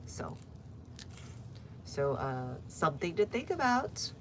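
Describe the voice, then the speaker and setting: A woman with a low, slightly stuffy voice talks casually to her live viewers, answering comments with plenty of fillers. She is recorded outdoors in a garden, and the sound carries a noticeable noisy background. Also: very animated, takes it slowly, fairly bright tone. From United States. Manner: chatty, reads viewer comments aloud, frequent fillers. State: stuffy nose. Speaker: gardening livestreamer. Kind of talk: casual live-stream chat.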